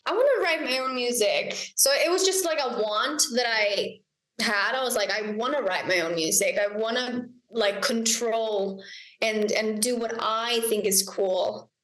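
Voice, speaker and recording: A woman's voice: fairly high pitch, measured pace, a bit bright, roomy sound, poor audio. A young Icelandic woman, a singer and songwriter, chatting as a guest in a casual interview, bubbly and giggly, often quoting herself.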